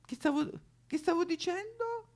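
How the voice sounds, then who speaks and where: A man voicing a batty, snobbish rich housewife in creaky falsetto, rambling and affected in a satirical monologue. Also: expressive, normal speed, a little mellow. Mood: rambling, swooning. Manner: affected, rambling, swooning, whimpering.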